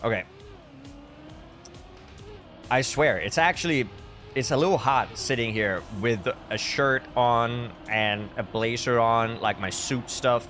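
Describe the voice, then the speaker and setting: A man talks casually on a live esports stream. He slips between chatting to viewers and calling the race, in a dry, tongue-in-cheek way. His pitch is average, and music plays behind him. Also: slow, long pauses, a bit bright. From Denmark. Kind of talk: casual live stream chat with game commentary.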